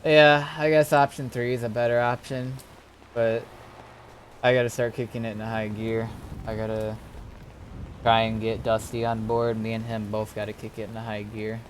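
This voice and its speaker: Halting man chatting over background noise. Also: fairly low pitch, little pitch movement. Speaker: streamer playing an online roleplay game. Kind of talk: casual gaming chat.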